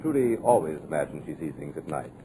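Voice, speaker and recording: A cold, controlling producer. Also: male voice, extremely low pitch, big pitch swings, fast, dark tone, a little hiss or hum, poor audio. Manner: clipped, commanding, dismissive. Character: curt, sinister male producer, secretive and controlling. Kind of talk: 1940s radio acting.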